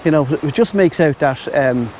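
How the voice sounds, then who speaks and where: A man's voice: on the high side, moderate pace, a little mellow. Irish man, casual rambling vlog talk, outdoors, faint background noise, lavalier mic. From Ireland. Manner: rambling, chatty, addresses viewers as "my friends". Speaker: travel vlogger. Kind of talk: casual travel vlog to camera. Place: outdoors in Thai countryside.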